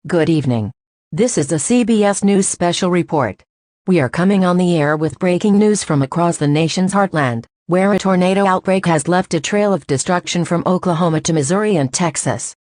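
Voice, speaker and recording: A woman's voice: fairly low pitch, fairly quick, a little mellow, no room sound, studio quality, lightly acted. A woman playing a serious, composed TV news anchor, formally reading a scripted breaking news report.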